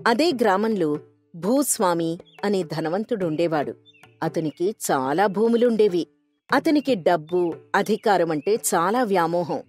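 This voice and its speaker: A woman's voice: lowish voice, expressive, a little slow, a little mellow, faint music behind. A woman narrator reading a scripted moral story.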